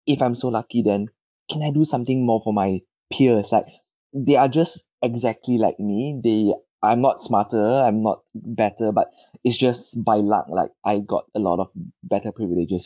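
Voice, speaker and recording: A man's voice: on the low side, mellow, no room sound, poor audio. A young man in his twenties from Malaysia, answering interview questions in a hesitant, modest way and often restarting his words. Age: 20s. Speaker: guest.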